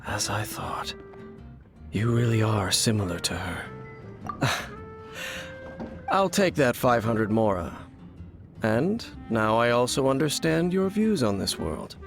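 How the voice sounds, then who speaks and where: An actor playing a mysterious, guarded wandering swordsman who speaks in a measured, solemn and formal way. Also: medium pitch, very animated, creaky, heavily acted. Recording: game audio with soft music.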